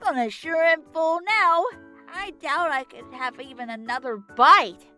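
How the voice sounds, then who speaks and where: A young cartoon pegasus mare, performed by a woman, very high and sharp in tone. She is casual and cool but easily flustered, protesting slowly and haltingly in a storybook reading. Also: faint music behind. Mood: casual, sated.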